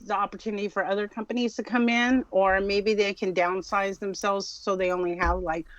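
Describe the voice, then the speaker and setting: A woman's voice: mid-range voice, fairly even intonation, brisk, no room sound. A woman co-hosting a podcast in casual chat, on a dull lo-fi microphone. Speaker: podcast co-host. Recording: dull lo-fi mic sound.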